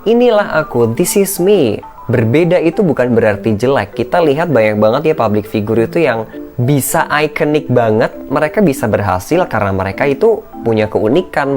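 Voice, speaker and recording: A man with a thin, shrill voice, giving an informal, encouraging educational talk as a content creator, slipping in English phrases. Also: darkish tone. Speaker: content creator and public speaking coach. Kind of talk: casual motivational educational vlog talk.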